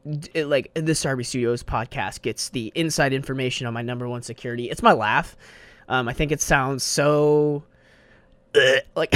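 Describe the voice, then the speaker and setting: A man's voice: a little high, no echo. A young man hosting his own podcast alone, rambling casually in slang with plenty of swearing, on a desk mic. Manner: rambling, slangy and profane, says bro a lot. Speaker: podcast host. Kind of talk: casual solo podcast monologue. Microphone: studio mic on a desk.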